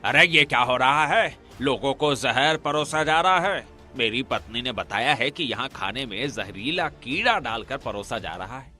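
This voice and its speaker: A man's voice: mid-range voice, lively intonation, a little slow, hardly any pauses, bright, forceful tone, faint music behind. A man voicing a scheming cartoon village priest in a theatrical, accusing manner. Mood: outraged.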